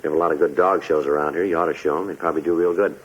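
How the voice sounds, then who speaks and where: A deep husky cop, talks super fast n matter of fact, old radio police show. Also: male voice, steady tone, a little hiss or hum. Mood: reasoning, insistent. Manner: terse, matter-of-fact, earnestly persuasive. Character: calm, persistent male police detective talking down a gunman. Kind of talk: 1950s radio police drama acting. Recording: muffled 1950s radio recording.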